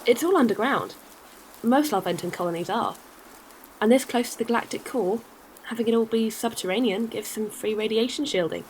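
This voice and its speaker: An actress as an easygoing alien courier with a slightly high voice. She is friendly and matter-of-fact in science-fiction drama style, with faint ambience behind her. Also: fairly quick, slight background noise, close to an everyday voice, low-quality recording. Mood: easygoing, practical. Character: friendly, practical female alien spaceship courier.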